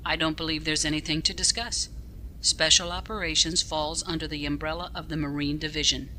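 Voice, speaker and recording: A woman's voice: low voice, a little fast, poor audio. A woman playing a stern, no-nonsense starship captain in a science fiction audio drama, with clipped, formal authority. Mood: stern, firm. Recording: steady background ambient noise.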